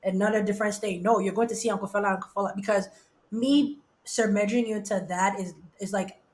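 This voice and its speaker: A woman's voice: medium pitch, fairly even intonation, quick pace, darkish tone, roomy sound. A woman in her thirties from Nigeria with an American accent, a podcast guest talking in a casual, emphatic way and often checking "do you know what I mean". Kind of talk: casual chat.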